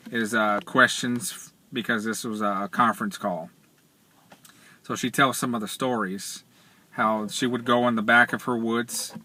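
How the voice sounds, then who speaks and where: A middle-aged man retelling a story in casual, measured, halting speech, sitting in a car. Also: mid-range voice, sharp tone. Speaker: vlogger. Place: inside a parked car. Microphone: camera or phone at arm's length.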